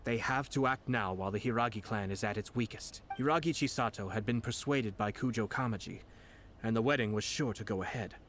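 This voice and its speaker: Actor playing a low-voiced, composed, cunning nobleman. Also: fairly bright tone, slight background noise, natural voice. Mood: grave, composed. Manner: measured, formal, deliberate. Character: composed, cunning nobleman, calm and calculating commission head. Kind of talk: scripted video game dialogue. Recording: background music under the voice.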